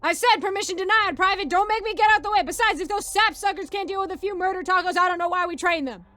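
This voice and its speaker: Hot-tempered male space sergeant, played by a woman, high-voiced, barking drill commands in a comic audio story. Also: normal speed, a bit bright, no echo. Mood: bossy, shouting.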